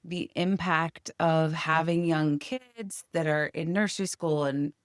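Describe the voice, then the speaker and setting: Low-voiced woman interview host. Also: steady tone, a little slow, no room sound, clean studio sound. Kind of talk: interview conversation.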